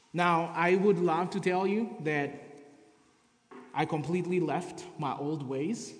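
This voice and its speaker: A man from Kenya with a Kenyan accent, preaching a sermon and sharing his testimony in earnest. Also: fairly high pitch. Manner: earnest testimony, reading scripture aloud. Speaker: guest preacher. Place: church sanctuary. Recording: echoey church hall.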